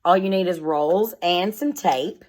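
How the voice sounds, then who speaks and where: A woman's voice: lowish voice, unhurried, darkish tone. A woman speaking as a schoolteacher, reading aloud and explaining things in simple, instructive words addressed directly to young children.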